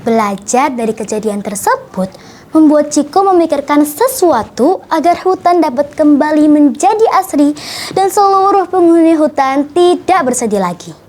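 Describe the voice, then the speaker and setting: A young female storyteller, reciting a children's tale in an expressive, deliberate, theatrical way. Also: high-pitched, a little hiss or hum.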